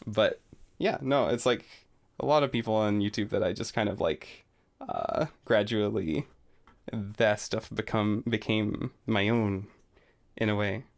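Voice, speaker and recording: A young adult man streaming a relaxed, rambling reaction commentary, full of fillers and self-deprecating asides. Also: on the low side, measured pace, gravelly.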